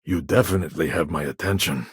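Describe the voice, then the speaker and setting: An actor playing a shrewd, courteous business negotiator in a video game, with a gravelly voice and a wry, conversational manner. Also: male voice, rumbling low, fast talker, no room sound, clean studio sound, full character voice. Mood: intrigued, measured. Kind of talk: video game dialogue lines.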